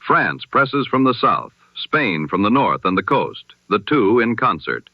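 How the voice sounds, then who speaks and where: A man reads a scripted news bulletin in 1920s radio style, formal and solemn, with measured oratorical delivery through an old-time radio filter. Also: fairly low pitch, sing-song, no echo. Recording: dull, band-limited vintage radio sound.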